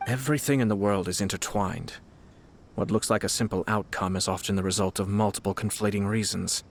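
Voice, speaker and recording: A man playing a composed, shrewd young noble commissioner in a video game, speaking in a formal, polite, measured way. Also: lowish voice, steady tone, a little hiss or hum, close to an everyday voice. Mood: calm, thoughtful.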